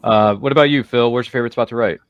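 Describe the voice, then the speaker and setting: Man, casual show host, rapid delivery, dry close sound, chatty, digressive. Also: mid-range voice, smooth, no room sound, muffled recording. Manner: chatty, jokey, digressive, slangy. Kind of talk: casual roundtable hosting, reading viewer comments.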